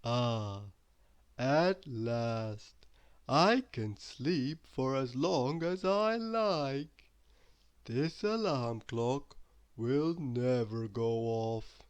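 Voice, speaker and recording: A woman voicing a sleepy, lazy little man in a storybook, drawling slowly and drowsily. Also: extremely low pitch, lively intonation, a little mellow, heavily acted. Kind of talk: storybook character voice.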